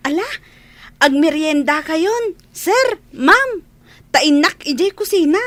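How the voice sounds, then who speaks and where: Woman doing a girlish little maid, super high and slow, cheerful radio drama. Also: lively intonation, a bit bright, dry recording, full character voice. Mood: cheerful, deferential. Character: cheerful, very young girlish housemaid.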